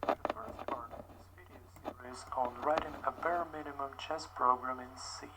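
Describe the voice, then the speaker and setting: A man narrates a coding tutorial in a careful, rehearsed manner. The clip is older footage played back, and clear hiss sits under it. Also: mid-range voice, normal speed. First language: Russian.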